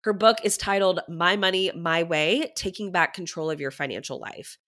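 A woman's voice: lowish voice, moderate pace, fairly bright tone. A woman money coach hosting a podcast, sounding stuffy from a head cold and talking with chatty enthusiasm to her listeners. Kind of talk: upbeat podcast intro monologue.

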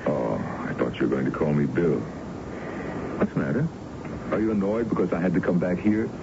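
An actor playing an older, smooth-talking colonel, courtly and coaxing, in a 1970s radio drama. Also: male voice, deep voice, fairly quick. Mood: evasive. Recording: noisy, dull 1970s radio broadcast recording.

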